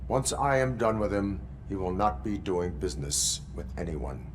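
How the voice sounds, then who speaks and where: An actor playing a stern cyborg police officer in a video game, speaking formally and deadpan with an American accent. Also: male voice, sits low, normal speed, a little hiss or hum. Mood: stern, flat. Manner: formal, deadpan, clipped commands.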